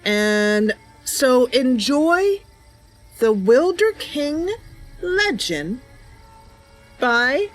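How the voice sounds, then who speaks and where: A woman hosting a casual gameplay livestream, chatty and giggly, with hesitant pauses. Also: sits high, sing-song. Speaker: livestream host. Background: game music and ambient sounds.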